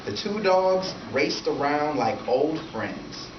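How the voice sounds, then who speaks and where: A man's voice: on the high side, expressive, slow. Guy doing shy lonely kid telling a simple storybook tale, distant mic, lots of room noise. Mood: quietly excited. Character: lonely, timid, polite young boy. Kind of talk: storybook read-aloud. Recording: echoey room from far, noisy, dull.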